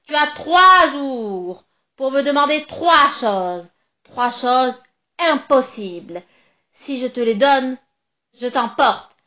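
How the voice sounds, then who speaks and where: Shrill sour witch, put on by a woman. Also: lively intonation, slow pace, pushed and bright, muffled recording, heavily acted. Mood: bossy. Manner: sneering and mocking. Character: nasty old female witch in a broom cupboard.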